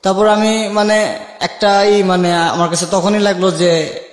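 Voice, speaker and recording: A man's voice: high voice, level tone, unhurried. A man with a Bangladeshi accent telling a personal life story conversationally, acting out remembered dialogue as he goes. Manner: acts out remembered dialogue while narrating. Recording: echoey with long reverb.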